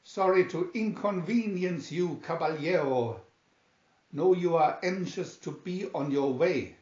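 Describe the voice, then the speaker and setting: Guy doing a condescending army commander baddie from a storybook, formal and sneery, slow, roomy echo. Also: on the high side, a little mellow, dull audio, voice pushed up, lightly acted. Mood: formal greeting. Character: sneering Spanish military commander, smoothly menacing villain. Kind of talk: storybook character reading.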